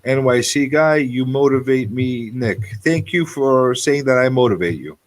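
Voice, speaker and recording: A man's voice: mid-range voice, unhurried. A man in his forties hosting a casual live talk show, repeating phrases for emphasis as he talks off the cuff. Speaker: radio show host.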